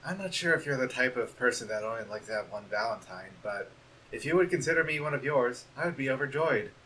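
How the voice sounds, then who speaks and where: A man voicing a shy young goat prince who speaks softly, hesitantly and politely. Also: mid-range voice, lively intonation, fast, a little hiss or hum, rough recording.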